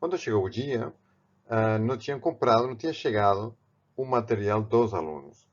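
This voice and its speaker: A man from Barcelona speaking with a Spanish accent, teaching a painting technique in a halting way, with many restarts. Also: fairly low pitch, lively intonation, lo-fi sound. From Spain, Barcelona. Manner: halting, with frequent restarts and fillers. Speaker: art teacher. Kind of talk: step-by-step art tutorial.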